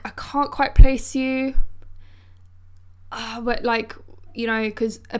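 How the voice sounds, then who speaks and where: A woman's voice: fairly high pitch, smooth. A woman co-hosting a podcast, talking casually and reflectively in informal slang. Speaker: podcast co-host. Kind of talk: casual conversational discussion.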